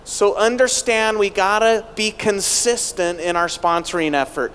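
A man's voice: high voice, unhurried, fairly bright tone, a little hiss or hum. A middle-aged man giving a motivational keynote speech on a large arena stage into a handheld microphone, rallying the crowd with rhetorical questions. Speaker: keynote speaker. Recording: long arena reverb.

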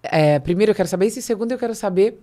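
A Brazilian woman in middle age hosts a casual interview. Her voice sits at a medium pitch and she talks very quickly, readily chiming in. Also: warm tone. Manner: interjecting, finishes guests' thoughts. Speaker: podcast host. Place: small acoustically padded podcast studio. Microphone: close podcast mic on boom arm.

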